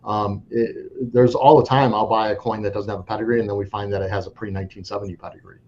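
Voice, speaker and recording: A man's voice: fairly low pitch, steady tone, quick pace, a little mellow. A man speaking as a coin dealer guest in an interview, frank and rambling, often restarting his sentences. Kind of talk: casual interview talk. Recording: dull lo-fi audio with faint noise.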